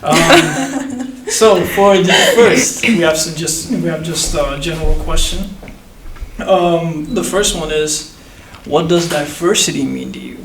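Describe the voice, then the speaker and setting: A nineteen-year-old man hosting a casual group podcast, relaxed and laughing easily, with many fillers. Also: fairly high pitch, takes it slowly, creaky, background noise. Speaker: podcast host. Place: room shared with three guests. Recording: echoey room, mic at a distance, guitar music.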